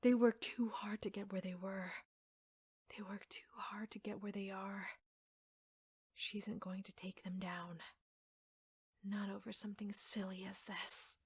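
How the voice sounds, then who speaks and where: A woman playing an obsessive, jealous secretary in an ASMR audio roleplay, soft-spoken and often slipping into breathy whispers. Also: medium pitch, little pitch movement, unhurried, pauses often, dry recording. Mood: brooding, resentful whisper. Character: obsessive yandere secretary, devoted, jealous, coldly menacing woman.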